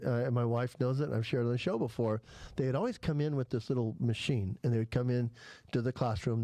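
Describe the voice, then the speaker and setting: A man's voice: medium pitch, dark tone, dry recording. Rambling man with a slight lisp. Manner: rambling, with many restarts and fillers. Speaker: podcast host. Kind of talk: casual chat.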